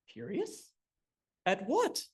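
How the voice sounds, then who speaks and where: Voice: medium pitch, wildly swooping pitch, extremely slow, husky, pitched above the performer's own voice, heavily acted. A storyteller voicing a lonely old woman who answers a talking bucket with polite surprise. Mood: puzzled. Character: old woman living alone, puzzled but calm.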